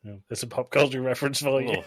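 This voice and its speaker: A man's voice: medium pitch, fast talker. A man co-hosting a casual review podcast, chatting conversationally with wry understatement. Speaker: podcast co-host. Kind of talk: casual panel chat, film review.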